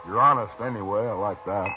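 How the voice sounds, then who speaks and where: An actor playing a jovial, boastful man who has just won at cards, in a 1950s radio drama, talking in a hearty, chuckling way. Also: fairly low pitch. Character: boastful, lucky card-winning man, hearty. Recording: scratchy, tinny old radio broadcast.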